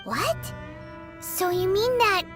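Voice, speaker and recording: A woman voicing a tiny, excitable, indignant childlike fairy companion in a video game, squeaky and cheeky. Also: slow pace, over music, exaggerated voice. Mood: puzzled. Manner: exclamatory, cheeky.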